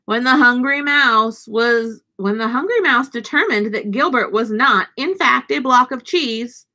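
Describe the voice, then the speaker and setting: A woman's voice: fairly high pitch, measured pace, sharp tone. A woman in her thirties reads a children's storybook aloud, expressively and with dramatic emphasis for young listeners. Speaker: teacher reading a picture book aloud. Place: small indoor room, seated.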